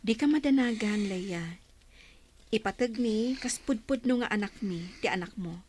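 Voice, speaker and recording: An actress playing a reassuring woman who adopts a baby in a Filipino radio soap, gentle and Filipino-accented. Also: medium pitch, animated, normal speed, slight background noise, close to an everyday voice. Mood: reassuring, earnest.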